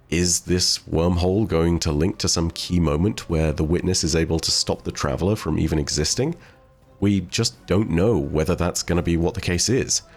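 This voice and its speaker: A man giving conversational, questioning commentary in the style of an explanatory video essay. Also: low-pitched. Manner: conversational, speculative, rhetorical questioning. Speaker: host of a game lore channel. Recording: voice over background music.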